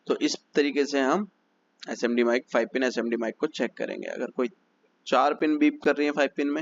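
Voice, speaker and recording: A man's voice: mid-range voice, dull audio, a little hiss or hum. A man teaching phone repair step by step, methodical and repetitive as he explains each check. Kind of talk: step-by-step technical lesson.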